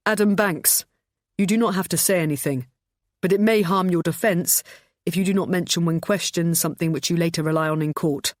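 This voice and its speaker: A woman with an English accent voicing a male police officer reciting a formal caution briskly. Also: fairly low pitch, no room sound, clean studio sound, lightly acted.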